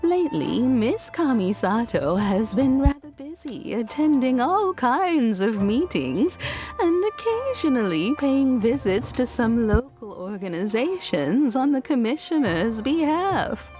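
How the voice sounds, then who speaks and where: A gracious household retainer from a video game, polite and genteel. Her voice is fairly high and mellow, with slow, lilting delivery over soft music. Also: sing-song. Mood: fond, polite.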